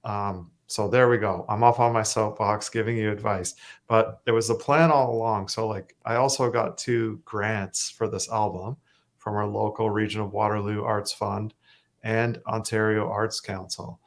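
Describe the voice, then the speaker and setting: A Canadian man with a self-described monotone voice, talking casually as a musician guest, rambling with fillers and restarts. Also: on the low side, moderate pace. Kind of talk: casual interview chat.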